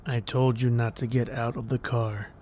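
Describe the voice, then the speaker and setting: An actor playing a menacing, brusque killer on the run in an old-time radio crime drama, speaking tersely. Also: male voice, mid-range voice, moderate pace, close to an everyday voice. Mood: cold menace. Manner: terse, flat threats in casual tough-guy phrasing.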